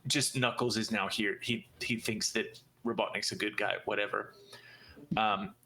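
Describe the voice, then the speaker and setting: Guy podcast host, bright voice, just casually chatting like a fan. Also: lowish voice, steady tone, moderate pace, slight background noise, low-quality recording. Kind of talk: casual chat about movies and games.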